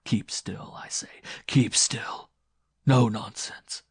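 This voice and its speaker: A man's voice: on the low side, expressive, dry recording, studio quality, heavily acted. Man acting a whispering, vengeful disfigured lover. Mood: hushed menace. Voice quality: breathy, hushed, often whispering. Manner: slow, quiet, pleading turning cruel. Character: disfigured blind man, bitter, menacing, vengeful former lover.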